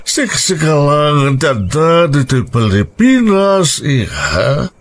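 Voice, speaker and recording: An actor playing a wealthy middle-aged businessman father in an Ilocano radio drama, with a Filipino Ilocano accent and a theatrical, drawn-out delivery. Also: on the high side, big pitch swings, heavily acted. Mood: persuading.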